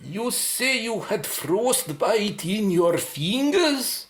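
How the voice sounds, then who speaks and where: Woman as measured, formal senior scientist, echoey. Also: medium pitch, very animated. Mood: excited, grand. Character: curious, authoritative senior research scientist. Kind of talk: radio drama acting. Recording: roomy, echoing sound.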